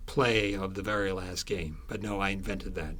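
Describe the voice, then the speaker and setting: Male author interviewee, lowish voice, conversational, feels his way through explanations. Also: steady tone, moderate pace, fairly bright tone. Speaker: author being interviewed about his book.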